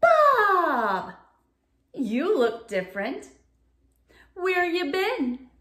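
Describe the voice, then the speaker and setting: Lady doing an excitable storybook shark, high and swoopy, blustery and defensive. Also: very slow, reverberant, full character voice. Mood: excited. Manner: exclaiming, dramatically insistent. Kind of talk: children's picture book read-aloud.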